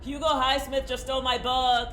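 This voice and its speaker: An actor playing a blunt prisoner and reluctant accomplice in an audio drama, sarcastic and exasperated. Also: male voice, extremely high pitch, little pitch movement, normal speed, pushed and bright, echoey room, a little hiss or hum.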